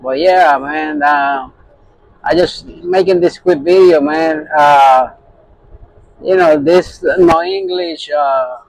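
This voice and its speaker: A man's voice: on the high side, slow, vocal fry, no echo, rough recording. A man from Puerto Rico, a truck driver, talking casually to his phone in a rambling way full of fillers and restarts. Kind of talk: casual vlog talk to camera.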